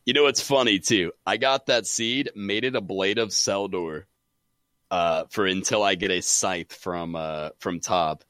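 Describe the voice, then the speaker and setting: A man chatting casually about games as a podcast guest, excitable and saying "dude" often. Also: low-pitched, measured pace, no echo. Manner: excitable, says "dude" a lot. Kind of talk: casual gaming chat.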